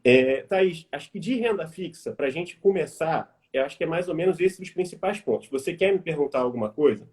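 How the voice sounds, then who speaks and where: A young Brazilian man in his twenties, an investment advisor explaining things casually on a live stream, informal and quick with many fillers. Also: fairly high pitch, animated, a little mellow. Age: 20s. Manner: quick, informal, addresses audience as "gente", many fillers like "vamos dizer". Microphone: video call.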